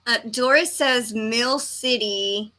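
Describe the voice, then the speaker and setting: A woman hosts a casual livestream. Her voice is bright and slightly high, and she takes her time as she chats and reads out comments. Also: slow pace. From Texas, United States. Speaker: livestream host. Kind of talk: casual chat, reading viewers' comments aloud.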